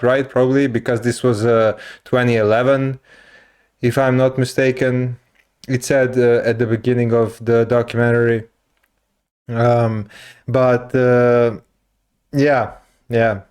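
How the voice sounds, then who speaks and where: A man in his thirties chatting through a reaction video in a smooth, clear voice. He talks slowly and rambles with many fillers, often breaking into laughter. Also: mid-range voice, darkish tone. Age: 30s. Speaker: reaction channel host. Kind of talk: casual reaction commentary.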